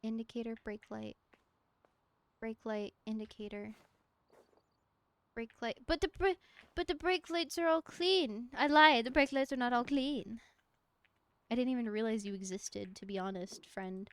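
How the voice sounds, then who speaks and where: A woman's voice: a little high, unhurried, long pauses, clean tone, no echo. A young woman streaming a game from home, chatting casually in a rambling, giggly way and talking to objects in the game. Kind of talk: casual chat while gaming.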